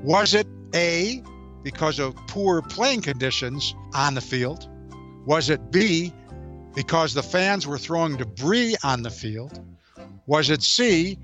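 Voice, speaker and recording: Slightly high-voiced male sports trivia presenter. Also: a bit bright, highs cut off. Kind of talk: scripted radio trivia segment.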